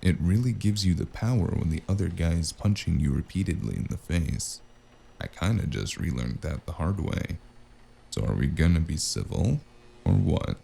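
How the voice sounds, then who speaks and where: A male actor playing a cynical, wisecracking investigator in a noir audio drama, with a gravelly voice and a dry, menacing delivery. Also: sits low, expressive, normal speed, warm tone, slight background noise, full character voice. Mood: sardonic. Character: cynical, wisecracking hardboiled spacer investigator with magic. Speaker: voice actor playing the lead and narrator.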